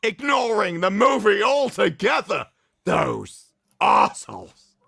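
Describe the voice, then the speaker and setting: A man hosting a livestream, reading aloud in a mocking, theatrical way with sarcastic asides and drawn-out emphasis. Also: high voice, wildly swooping pitch, creaky, fairly bright tone, slightly rough, no room sound, clean studio sound. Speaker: livestream host. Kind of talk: mocking dramatic reading of an article with commentary.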